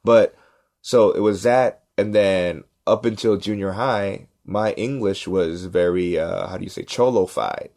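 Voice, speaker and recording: A man's voice: deep voice, unhurried, gravelly. A man, telling stories in a casual podcast chat and acting out the other people in them. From Los Angeles, United States. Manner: animated, acts out quoted voices, repeats "right?". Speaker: podcast cohost, stand-up comedian. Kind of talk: casual storytelling.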